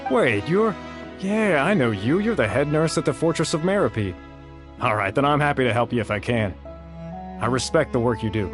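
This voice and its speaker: A man's voice: medium pitch, expressive, normal speed, over music, close to an everyday voice. An actor playing a disgruntled, principled male scientific researcher in a video game, with a guarded, blunt manner. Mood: cooperative. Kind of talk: video game character dialogue.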